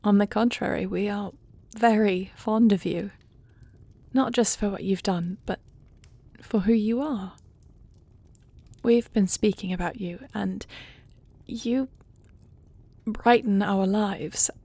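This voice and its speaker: A woman playing a regal, tender dragon queen in an audio roleplay, speaking gently with formal, measured diction. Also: medium pitch, dry recording. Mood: affectionate, gentle. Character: regal dragon queen, tender, earnest wife and would-be adoptive mother. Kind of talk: scripted audio roleplay drama.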